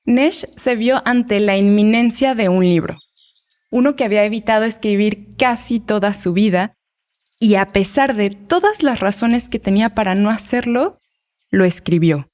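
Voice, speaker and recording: A woman narrating a documentary podcast in a measured, scripted storytelling voice. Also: medium pitch, a little mellow.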